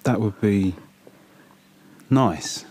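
A man playing a brooding, reserved magpie in an audio drama, halting and subdued. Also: on the low side, darkish tone, breathy edge, dry recording, full character voice. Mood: subdued, guarded. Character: brooding, reserved male magpie, awkward and guarded.

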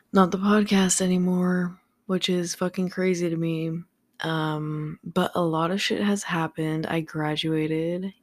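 A tired, slightly raspy young woman rambling through a casual solo podcast late at night, swearing freely and filling gaps with lots of ums. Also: lowish voice, a little mellow, dry recording, clean studio sound. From Southern California. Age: young adult. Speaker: podcast host. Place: home room with a fan running. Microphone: studio microphone without pop filter.

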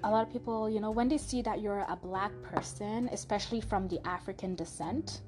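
A young woman whose first language is French tells a personal story in a loose, chatty way, full of fillers and restarts. She speaks with a light French accent at a middle pitch. Music plays clearly underneath her. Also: brisk. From Canada (Montreal). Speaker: podcast host.

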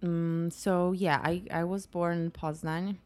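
A woman's voice: fairly low pitch, level tone, unhurried, a little mellow, clear, resonant tone. A young woman from Poland with a Polish accent, chatting casually as a guest in a home kitchen and telling stories with many restarts. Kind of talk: casual chat. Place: home kitchen, music heard outside.

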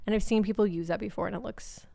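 A woman's voice: mid-range voice, steady tone, quick pace, gravelly, dark tone. A young woman in her twenties co-hosting a podcast, chatting casually at home with lots of "like" and frequent laughs. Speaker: podcast co-host. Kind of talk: casual chat. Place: indoors at home.

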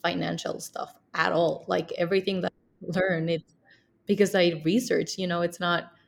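Casual woman interviewer, gravelly low voice. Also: level tone, normal speed. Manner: casual, uses filler like and you know.

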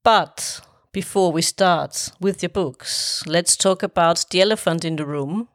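A woman hosting a book podcast, speaking in measured, deliberate phrases. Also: fairly low pitch, no echo, clean studio sound. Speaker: podcast host. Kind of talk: podcast interview.

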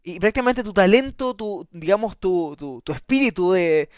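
A chatty male radio host with a high, smooth, clear voice. He talks easily, restarts his sentences and keeps checking with his guest. The sound is dry and close, with no room around it. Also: lively intonation, normal speed, dry recording. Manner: chatty, stumbles and restarts, tag questions. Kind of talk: casual interview.

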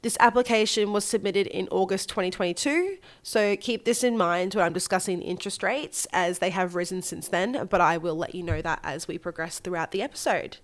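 A woman's voice: fairly low pitch, brisk, hardly any pauses. An Australian woman with a slight head cold, presenting a scripted solo podcast as co-host and mortgage broker. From Gold Coast, Australia.

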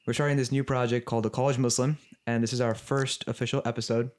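A young man co-hosting a casual podcast, talking in a relaxed way with many fillers. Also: medium pitch, clean tone. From United States. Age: young adult. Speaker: podcast co-host.

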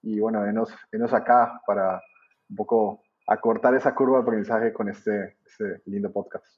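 A man in his thirties with a Colombian accent tells his story as a podcast guest, relaxed and hesitant, often restarting his sentences. His voice is breathy and a little rough. The sound is muffled and lo-fi, like a remote call. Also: on the low side, moderate pace. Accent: Colombian Spanish. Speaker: podcast guest, trader and educator. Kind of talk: conversational interview answers.

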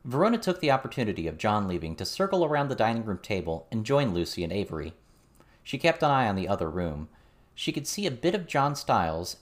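A man reading a story as scripted audiobook narration. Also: on the low side. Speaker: audiobook reader.

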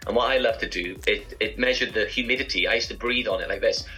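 A man's voice: on the low side, fast, bright, forceful tone, soft background music, rough recording. A man co-hosting a chat show, telling rambling, humorous anecdotes in a casual style. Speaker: co-host presenting segments.

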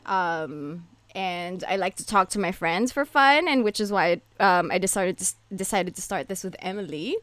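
A woman's voice: on the low side, expressive, moderate pace, few pauses, fairly bright tone, no echo. A young woman co-hosting a podcast, talking in an earnest, rambling casual way with many fillers. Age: young adult. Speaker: podcast co-host. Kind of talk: casual chat.

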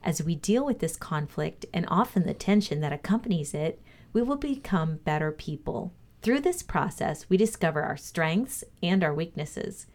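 A woman reading a motivational lesson aloud as a co-host, in a measured, instructive way. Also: on the low side, animated, darkish tone.

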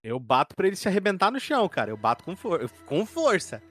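Slangy Brazilian man, slightly high voice. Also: wildly swooping pitch, quick pace, a bit bright, slightly rough, no echo, soft background music. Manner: slangy, swearing. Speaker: tabletop game player. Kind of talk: casual game chat.

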